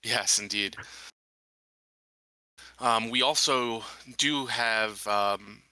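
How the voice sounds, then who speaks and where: A man's voice: lowish voice, drawn-out words, sharp tone, no echo, exaggerated voice, low-quality recording. A man playing a courteous colony marshal in a tabletop roleplay, speaking formally and politely with hesitant phrasing. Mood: courteous, measured. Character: courteous colony marshal interviewing a new recruit. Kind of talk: improvised in-character tabletop roleplay.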